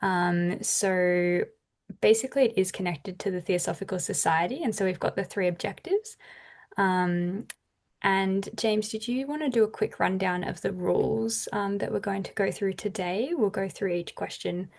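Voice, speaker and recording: A woman's voice: medium pitch, normal speed. A woman moderating an online debate, organising the session with a loose, friendly manner and many "um"s.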